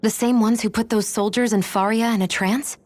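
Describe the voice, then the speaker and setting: An actress playing an earnest, concerned young heroine in a fantasy video game. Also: female voice, a little high, fairly even intonation, moderate pace, a little mellow, no room sound, natural voice. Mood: puzzled unease.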